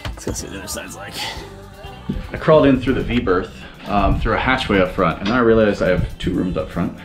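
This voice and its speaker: Low-voiced man, 30s, loose talky vlogger swearing in a boat cabin over guitar music. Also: a little fast. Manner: casual, swears freely, many fillers. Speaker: sailing vlogger. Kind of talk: casual vlog narration. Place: inside a damaged sailboat cabin. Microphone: handheld action camera close up.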